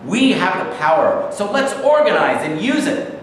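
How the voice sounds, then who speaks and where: A man's voice: high voice, big pitch swings, normal speed. A man playing a fervent socialist agitator in a staged reading, declaiming in slogans like an orator. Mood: rousing. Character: fervent male socialist agitator rallying the working class. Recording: echoey hall, distant mic, faint noise.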